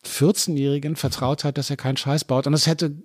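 A man's voice: fairly high pitch, little pitch movement, fairly quick, dry recording, studio quality. A middle-aged man guesting on a podcast, talking in a reflective way and thinking aloud with many restarts. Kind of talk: casual conversation. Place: living room.

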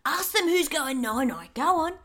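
An actor playing a menacing gangster who holds women hostage, taunting them in a cold, threatening way. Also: male voice, very high-pitched, expressive, moderate pace, fairly bright tone.

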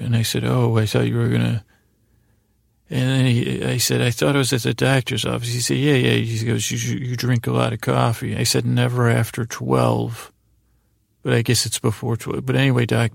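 A man hosting a bedtime podcast in a lulling, soothing, creaky voice, meandering and trailing off mid-thought. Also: medium pitch, no echo, studio quality. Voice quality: very creaky, gravelly. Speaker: podcast host. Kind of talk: rambling bedtime-story podcast monologue.

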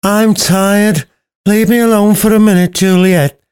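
A man's voice: sits high, steady tone, unhurried, a little mellow, smooth, pitched above the performer's own voice. A man voicing a bossy, crude old woman nurse in a storybook retelling, snapping and threatening. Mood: snappish.

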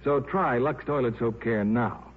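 A thin, muffled old radio recording. An American man announces a scripted commercial in a mid-pitched voice with a rough, husky edge. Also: a little slow. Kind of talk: scripted 1950s radio advertisement.

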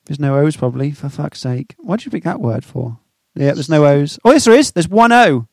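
A man's voice: fairly high pitch, expressive, brisk, creaky, a little mellow, no room sound, studio quality. A British man co-hosting a podcast, acting as quizmaster in casual banter with a teasing, sweary manner. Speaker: podcast co-host.

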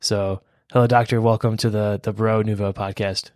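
A man's voice: fairly low pitch, flat delivery, normal speed, darkish tone, no room sound, clean studio sound. A man hosting a podcast, introducing his guest and asking questions in a friendly, plain-spoken way. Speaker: podcast host. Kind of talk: casual interview hosting.

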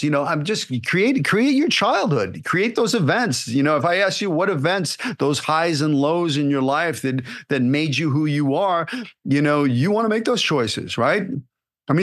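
A man, an acting coach, giving casual solo podcast advice in a blunt, animated way, firing rhetorical questions at the listener. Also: medium pitch. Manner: casual and blunt, with repeated fillers and restarts.

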